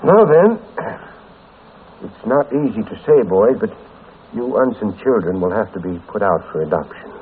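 A man playing a kindly, solemn small-town doctor in a 1940s radio drama, with a measured, regretful manner. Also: on the low side, animated, lightly acted. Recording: muffled, hissy old radio recording.

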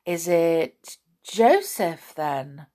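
A woman's voice: high voice, big pitch swings, drawn-out words, voice pushed up, exaggerated voice. A woman voicing a worried but crafty queen in a children's fairy tale, drawing out coaxing questions. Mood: feigned sadness.

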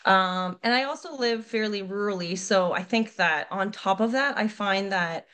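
A woman's voice: mid-range voice, moderate pace, a bit bright, smooth. Earnest woman explaining. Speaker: lactation consultant guest. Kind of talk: casual podcast conversation.

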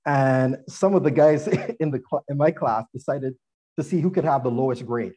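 A man's voice: medium pitch, normal speed, dark tone, breathy edge. A man being interviewed on a podcast, telling earnest stories about his upbringing and laughing now and then. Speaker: guest, former athlete and attorney.

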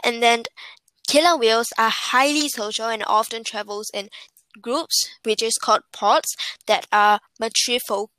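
A woman with a fairly high voice reads facts aloud as a podcast co-host, halting and tripping over longer words. Her voice is close and dry, with no room sound. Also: steady tone, pushed and bright, dry recording, low-quality recording. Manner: halting reading, stumbles on words.

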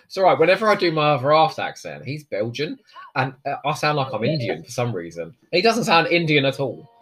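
A man hosting a casual chat, commenting while they watch an episode, joking with quick asides. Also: medium pitch, big pitch swings, a bit bright. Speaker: podcast host.

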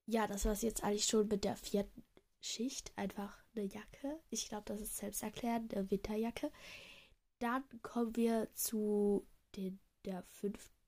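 Young woman with a cold, husky and rambling. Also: mid-range voice, flat delivery, measured pace, a little mellow. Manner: rambling, many fillers and self-corrections. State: has a cold. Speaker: podcaster. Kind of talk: casual chat. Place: outdoors in cold wind.

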